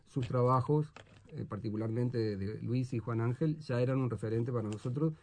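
A man's voice: medium pitch, moderate pace, a little mellow. A man speaking as a guest in a casual, unscripted interview.

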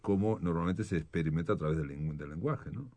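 Low-pitched Uruguayan man hosting. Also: quick pace, darkish tone. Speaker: radio show host. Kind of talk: conversational literary interview.

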